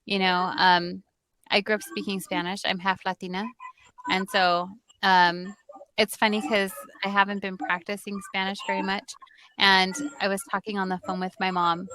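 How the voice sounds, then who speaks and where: A woman's voice: medium pitch, level tone, normal speed, bright, forceful tone, clear, resonant tone, dry recording. A woman from the United States chatting on a video call, an English teacher learning Portuguese, who laughs easily and tells stories with energy. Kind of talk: casual video-call conversation.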